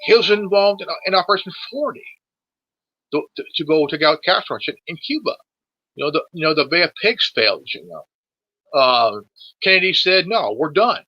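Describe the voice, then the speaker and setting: A man's voice: a little high, animated, normal speed, no echo, muffled recording. A man in his forties in a casual political discussion, sweary and emphatic, often stumbling and repeating his words. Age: 40s.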